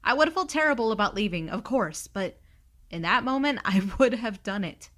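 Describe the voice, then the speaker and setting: A woman's voice: a little high, animated, brisk, fairly bright tone. A woman playing a sarcastic, blunt, reluctant young space traveller in an audio drama. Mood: wry narration. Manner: snarky, exasperated, blunt. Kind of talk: audio drama acting and narration.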